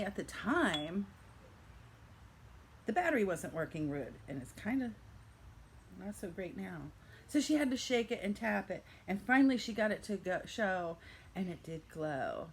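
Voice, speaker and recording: A woman's voice: medium pitch, slight background noise. An older woman telling a playful fairy-tale style story with theatrical narration and chatty asides. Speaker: vlogger telling a reselling story.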